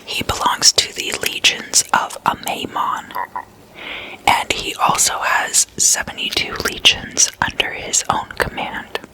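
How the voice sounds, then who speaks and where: An ASMR host whispering in an intimate slumber-party chat, addressing listeners as guests and softly repeating words. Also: slow pace. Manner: repeats single words in soft trailing echoes.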